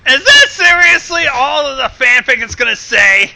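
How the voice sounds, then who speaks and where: A man voicing a loud, indignant cartoon character with incredulous, explosive exclamations, on a recording with loud noise and clicks. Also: very high voice, very animated, moderate pace, gravelly, heavily acted. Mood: incredulous outrage.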